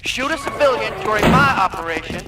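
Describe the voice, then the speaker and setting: An actor playing a stern, sardonic, gung-ho American Marine commander delivering a rallying military briefing in a video game. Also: male voice, sits high, animated, brisk. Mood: stern, rousing.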